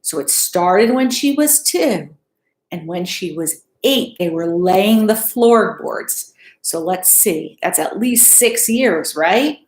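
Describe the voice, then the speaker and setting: A woman in her thirties reading a picture book aloud to children in an expressive, teacherly way. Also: medium pitch, takes it slowly. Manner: expressive, teacherly, checks in with questions. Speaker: school principal reading aloud to pupils. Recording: echoey room.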